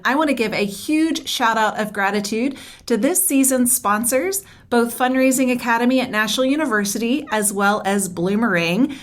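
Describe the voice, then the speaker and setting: A woman hosting an interview podcast, speaking in an upbeat, enthusiastic and effusive way. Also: on the high side. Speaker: podcast host.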